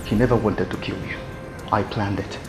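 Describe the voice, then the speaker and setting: A man's voice: on the low side, steady tone, music in the background, close to an everyday voice. An actor playing a scheming prince who stammers as he speaks. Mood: remorseful. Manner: stammering, halting.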